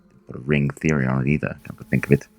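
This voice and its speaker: A man's voice: a bass voice, level tone, quick pace, darkish tone, dry recording. A man voicing a sly, mocking devil with plenty of sass in a radio play. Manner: mocking, sassy. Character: sly, sassy male devil, huge and mocking.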